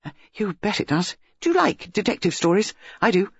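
A man voicing an excited, talkative boy of about nine who stammers and chatters eagerly. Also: high-pitched, fairly quick, gravelly, slightly rough, no echo, voice pushed up, heavily acted. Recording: dull, dry lo-fi recording.

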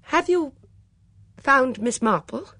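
A woman's voice: high voice, lively intonation, takes it slowly, pushed and bright, no echo, pitched above the performer's own voice, full character voice. A woman voicing a weary, anxious older lady of the house, strained and fretful, in an audiobook story. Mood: overwrought.

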